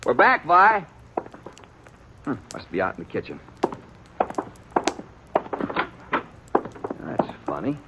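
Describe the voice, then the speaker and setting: Male rancher, American accent, hot-tempered, bossy, folksy, very animated delivery, radio western, noisy old recording. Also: medium pitch, moderate pace. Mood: gruff, suspicious. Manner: folksy rural speech, blunt and stern. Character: hot-tempered, domineering rancher, the heroine's brother. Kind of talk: 1950s radio western acting.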